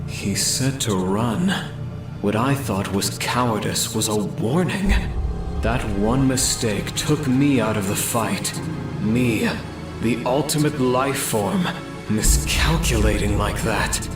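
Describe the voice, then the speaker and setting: A man voicing a brooding, proud male ultimate life form in a comic dub, speaking deliberately. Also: medium pitch, animated. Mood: brooding, bitter. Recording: echoing voice under loud music.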